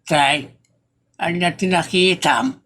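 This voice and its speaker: A man's voice: high voice, slow pace, fairly bright tone, exaggerated voice. A sly, meddling male busybody acted by a man in a comedy audio drama, full of exclamations and evasive excuses. He has an Ilocano accent, with faint music underneath. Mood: sly, defensive. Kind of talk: comedy radio drama acting.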